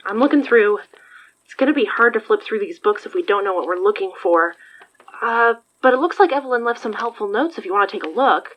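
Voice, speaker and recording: A woman's voice: medium pitch, lively intonation, brisk, natural voice. A woman acting in an audio drama as a wry, casual investigator and supportive friend, with slightly dull sound. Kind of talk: audio fiction podcast acting.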